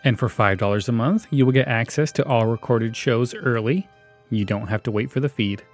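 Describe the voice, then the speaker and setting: A man's voice: mid-range voice, normal speed. A man hosting a podcast solo with casual, conversational commentary. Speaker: podcast host. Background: faint music.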